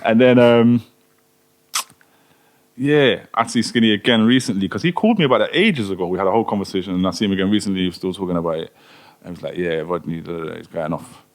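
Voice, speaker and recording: A man co-hosting a podcast, telling a story in casual chat full of slang. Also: low-pitched. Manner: fast, animated, slangy storytelling. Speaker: podcast co-host.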